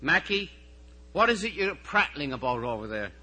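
An Irish man voicing a stern, scolding female post office supervisor in a memoir reading, sharp and accusing. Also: fairly high pitch, very animated, normal speed, slightly rough, pitched above the performer's own voice, exaggerated voice.

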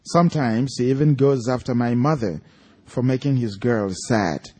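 A man's voice: mid-range voice, moderate pace, a little mellow, husky. A man with a Nigerian accent, an author speaking and reading aloud to an audience at a live event. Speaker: author reading from his own story at an event.

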